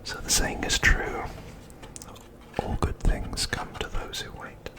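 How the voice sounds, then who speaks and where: An ASMR host giving an unscripted show-and-tell commentary in a breathy, unvoiced whisper, hushed and unhurried.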